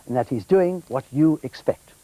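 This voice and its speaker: A man's voice: a little high, expressive, moderate pace, warm tone, no echo, close to an everyday voice, rough recording. An older man playing an earnest elderly grandfather answering questions as a quiz contestant.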